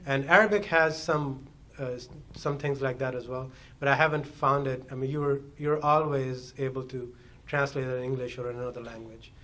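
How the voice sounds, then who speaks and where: A man's voice: medium pitch, steady tone, moderate pace, fairly bright tone. A man whose first language is Urdu, answering easygoingly on a panel in front of an audience. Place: meeting hall with an audience.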